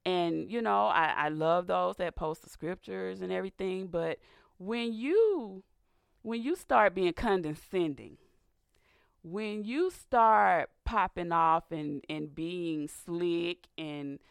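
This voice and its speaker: A woman hosting a faith-based radio talk show, raspy and a little hoarse from sinus trouble, speaking earnestly and building to a preacher's fervor. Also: lowish voice, takes it slowly, no room sound, studio quality. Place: radio studio.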